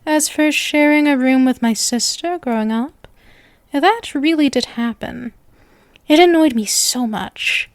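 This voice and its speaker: A woman's voice: high voice, unhurried, dry recording, clean studio sound. A woman playing a sweet, possessive, lovestruck young witch in an ASMR roleplay, coy and teasing as she addresses the listener. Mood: chatty, teasing. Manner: coy, teasing, sugary with menacing devotion.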